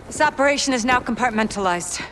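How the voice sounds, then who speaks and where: A middle-aged actress playing an authoritative CIA station chief, firm and controlled. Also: female voice, on the high side, expressive, fast talker, a bit bright, a little hiss or hum, poor audio. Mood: firm, urgent.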